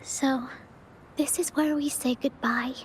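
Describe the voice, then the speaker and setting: A woman voicing a tender, shy young girl in a video game, speaking softly and hesitantly with heartfelt sincerity. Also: high voice, fairly even intonation, takes it slowly, slight background noise. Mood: tender, grateful. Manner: soft, hesitant, heartfelt delivery.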